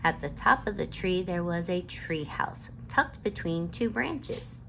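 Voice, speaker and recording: A woman's voice: fairly low pitch, lively intonation, unhurried, vocal fry, sharp tone, a little hiss or hum, low-quality recording. A woman hosting a children's storytime and reading a chapter book aloud. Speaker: storytime host and reader.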